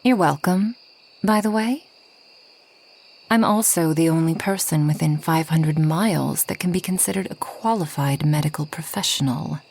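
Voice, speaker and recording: A woman playing a reclusive, ageless forest witch in a soft ASMR roleplay, gentle and wry, often slipping toward a whisper. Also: low voice, moderate pace, vocal fry, a little hiss or hum. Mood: proud, irritated. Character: reclusive, centuries-old kind but proud forest witch.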